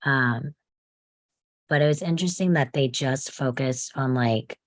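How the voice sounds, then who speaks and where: Woman with a strikingly low voice, conversational and thoughtful, dry recording. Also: a little slow, no echo. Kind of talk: casual group discussion.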